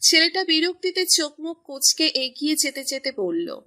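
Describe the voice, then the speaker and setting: A woman narrating a scripted romance story in an even storytelling manner. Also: high voice, moderate pace.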